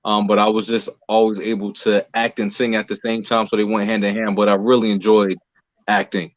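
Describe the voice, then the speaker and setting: American guy being interviewed, lowish voice, chatting casually, dry kinda muffled audio. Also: little pitch movement, brisk, dry recording. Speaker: interview guest, singer, actor and playwright.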